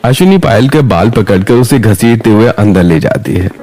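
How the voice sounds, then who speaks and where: A man's voice: on the low side, expressive, normal speed, mellow, soft background music. A man narrating a scripted moral story as its storyteller.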